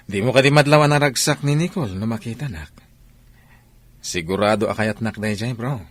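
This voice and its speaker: A man's voice: lowish voice, normal speed, close to an everyday voice. A man playing a vain, womanizing young soldier in a comedy radio drama, boastful in manner. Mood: cocky, amused.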